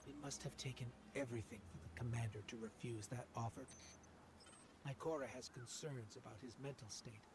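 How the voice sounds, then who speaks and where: A man's voice: medium pitch, brisk, natural voice. Earnest male field companion over noise actor. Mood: concerned, resolute. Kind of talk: video game radio monologue. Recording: clear background noise.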